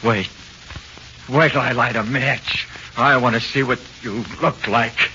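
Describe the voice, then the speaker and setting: A man's voice: medium pitch, steady tone, a little slow, bright, forceful tone. A man with an American accent playing a tough-talking but easily frightened jailed crook in 1940s radio horror, slangy and tense. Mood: frightened disbelief. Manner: slangy, uneducated, tense delivery. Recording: muffled, hissy 1940s radio recording.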